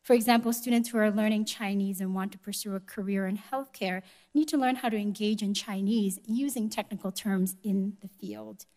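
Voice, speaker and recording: A woman delivering a prepared speech at a conference in a measured, polite and formal manner. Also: medium pitch, fairly even intonation, a little mellow. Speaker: conference speaker, education organization executive. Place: live event room before an audience.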